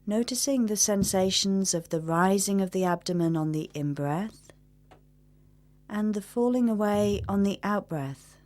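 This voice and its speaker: A woman leading a guided meditation in a gentle, measured manner, leaving long pauses between instructions. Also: mid-range voice.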